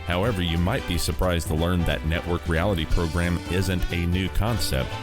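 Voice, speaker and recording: A man hosting a classic radio program, reading a steady, scripted introduction. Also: low-pitched, normal speed. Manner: steady, informative. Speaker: host. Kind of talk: scripted narration. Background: guitar music.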